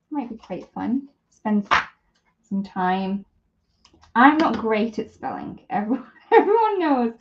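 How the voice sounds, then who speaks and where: The woman on a weekend crafting livestream, murmuring her way through each step at a leisurely pace, heard through slightly dull audio. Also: medium pitch. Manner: chatty, thinking aloud while working. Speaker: host of a live papercraft demonstration. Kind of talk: casual live craft tutorial chat.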